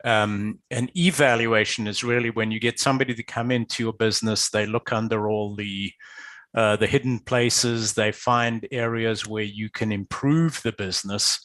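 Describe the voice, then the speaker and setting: Man from Zimbabwe, low voice, measured expert explainer on a dry call line. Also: steady tone, fairly bright tone, no echo. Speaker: guest expert, business valuator. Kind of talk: interview answers. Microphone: video call.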